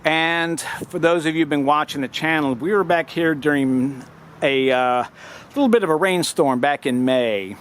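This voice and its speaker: A man who runs live sound, giving a plain, practical walkthrough in a casual vlog, pausing often with "uh". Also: a little high, echoey room, background noise. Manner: plain, practical, frequent "uh" pauses. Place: outdoors at a brewery gig.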